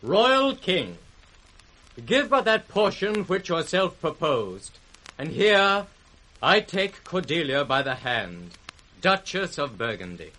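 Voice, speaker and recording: A stiff, courtly duke in classical radio drama. He speaks formally and slowly, with widely moving intonation and a bright, forceful tone. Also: fairly high pitch, sing-song, full character voice, low-quality recording. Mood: formal, regretful.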